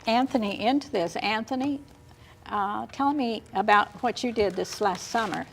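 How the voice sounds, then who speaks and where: A woman's voice: a little high, moderate pace, vocal fry, slight background noise. Woman hosting a casual interview. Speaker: interview host.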